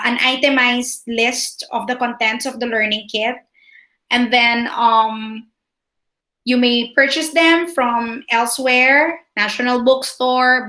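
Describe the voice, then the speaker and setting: Slow-spoken Filipino woman, echoey room. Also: on the high side, a bit bright. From Philippines. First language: Tagalog. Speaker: school administrator hosting a parent orientation. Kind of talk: informal question-and-answer presentation to parents. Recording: roomy, echoing online meeting audio.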